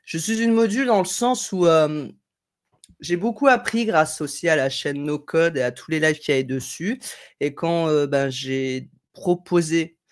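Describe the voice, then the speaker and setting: A woman's voice: low-pitched, measured pace. A woman hosting a casual live tutorial from home, reading chat comments in a chatty, digressive way, with a slight head cold. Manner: chatty, digressive, friendly, thinking aloud with fillers. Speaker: live stream host. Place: home room at a desk, indoors.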